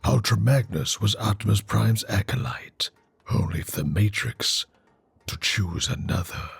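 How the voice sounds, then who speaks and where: A man voicing a grave, menacing robot schemer in an animated series, with a husky, gravelly voice and a formal, ominous manner. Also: lowish voice, a little slow, no echo, full character voice. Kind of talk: dramatic animated-series villain acting.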